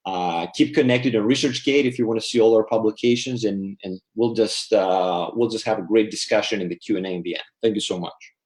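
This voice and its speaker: A man with a fairly low voice gives a technical slide presentation. He explains step by step, breaking his sentences into short phrases with small pauses. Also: darkish tone. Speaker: dental researcher giving a lecture. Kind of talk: slide presentation at online symposium.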